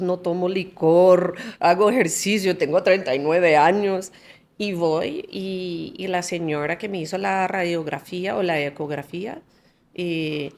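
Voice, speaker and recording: A woman from the United States in her forties whose first language is English, telling her story as a podcast guest in an animated way, laughing often and restarting her phrases. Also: fairly low pitch. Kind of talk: candid conversational storytelling.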